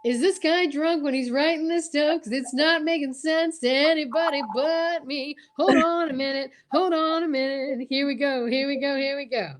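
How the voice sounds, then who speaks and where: A woman's voice: sits high, moderate pace, hardly any pauses. A woman performing in an amateur radio show, making casual comic asides to the listeners.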